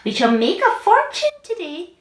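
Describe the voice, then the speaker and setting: A woman's voice: extremely high pitch, wildly swooping pitch, moderate pace, roomy sound, pitched above the performer's own voice, full character voice. A woman voicing a spiteful, bullying aunt from a children's storybook in an exclamatory, threatening manner. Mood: gloating.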